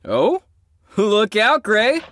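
Woman playing a sardonic young troublemaker, animated intonation, teasing, brash, dry close sound, audio drama. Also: medium pitch, drawn-out words, no room sound, exaggerated voice.

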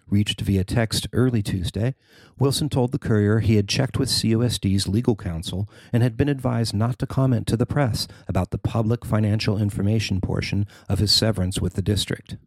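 A man who works as a newspaper reporter, reading his own article as scripted narration in a measured, formal way. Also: fairly low pitch, a little mellow, no room sound.

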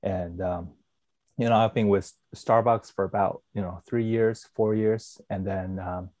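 Man, low voice, hesitant, lots of ums and restarts, dry close sound. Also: steady tone, measured pace, a little mellow, no echo. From born in Taiwan, raised in Canada. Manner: hesitant, many fillers and restarts. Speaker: podcast guest, food company executive. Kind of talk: casual interview answers.

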